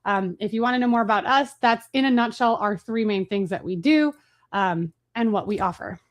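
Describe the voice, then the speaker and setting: A woman hosting a marketing webinar, talking fast and casually with fillers and restarts. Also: medium pitch. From Chicago, USA. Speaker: webinar host.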